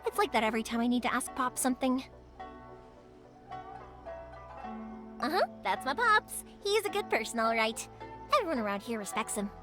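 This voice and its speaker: A woman's voice: fairly high pitch, quick pace. A woman voicing a bubbly, outgoing young fireworks maker who talks energetically and chattily. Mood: fond. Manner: energetic, chatty, patient with her father. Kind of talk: video game voice acting. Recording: game cutscene with music behind.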